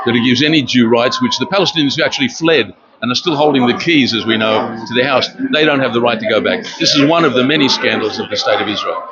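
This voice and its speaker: An older man born in Romania, giving an earnest street interview at an outdoor protest, speaking haltingly with many fillers. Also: mid-range voice, over a noisy crowd. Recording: dull lo-fi with loud outdoor noise.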